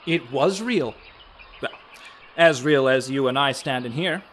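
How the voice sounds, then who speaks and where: A man playing a gentle, cryptic fairy guardian of a forest in a radio play, with an unhurried, lilting delivery. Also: medium pitch, a little hiss or hum, lightly acted. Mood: gently persuasive. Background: birdsong, woodland ambience.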